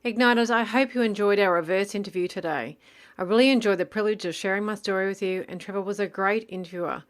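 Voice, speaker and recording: A woman's voice: medium pitch, quick pace. A woman with an Australian accent talking casually and encouragingly, in plain words, as a podcast host in an interview. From Gold Coast, Queensland, Australia.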